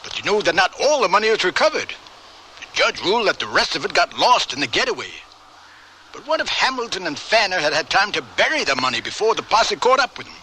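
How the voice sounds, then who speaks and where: An actor playing a shrewd, confident frontier marshal in a radio western, explaining things with assurance. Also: male voice, sits high, big pitch swings, quick pace, pushed and bright, heavily acted. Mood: reasoning. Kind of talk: old-time radio western acting. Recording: slightly noisy radio drama recording.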